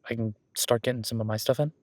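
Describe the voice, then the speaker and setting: A man's voice: mid-range voice, quick pace, darkish tone, no room sound, close to an everyday voice. Man playing easygoing werewolf boyfriend, close dry sound. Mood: warm, upbeat. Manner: casual, encouraging, rambling. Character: friendly, easygoing male werewolf boyfriend coaching at gym. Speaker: gym partner giving workout guidance. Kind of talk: roleplay audio drama, one-sided casual conversation.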